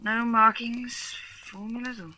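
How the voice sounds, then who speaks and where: An actress playing a sensible, inquisitive space explorer in an old-fashioned radio adventure, well-spoken and questioning. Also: female voice, fairly high pitch, fairly even intonation, measured pace, sharp tone, a little hiss or hum. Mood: puzzled, eager.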